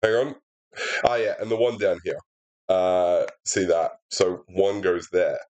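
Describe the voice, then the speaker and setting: A man's voice: sits low, unhurried, muffled recording. Stuffy-nosed young man explaining a puzzle. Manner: chatty and relaxed, many fillers, explains steps aloud. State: congested, stuffy sinuses. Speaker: puzzle setter and walkthrough host. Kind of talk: casual sudoku solving walkthrough. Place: indoor room at a desk. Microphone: laptop built-in mic.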